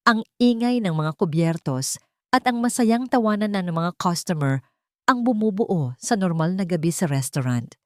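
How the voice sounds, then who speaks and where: Low-pitched woman narrating, dry sound. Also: lively intonation, normal speed, no echo. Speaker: story narrator. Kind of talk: dramatic scripted narration of romance stories.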